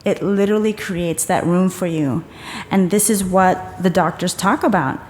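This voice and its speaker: A woman giving a conference talk, calmly guiding the audience through a breathing exercise and explaining the ideas behind it. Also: on the low side, moderate pace, slight background noise. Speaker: conference presenter. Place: conference hall. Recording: echoey conference hall.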